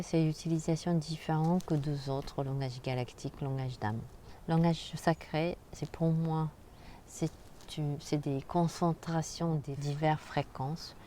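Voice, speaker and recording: A woman hosting a spiritual channel, talking casually and directly to the viewer in a soft, halting way with frequent hesitations. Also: sits low, slight background noise. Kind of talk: casual spoken vlog monologue.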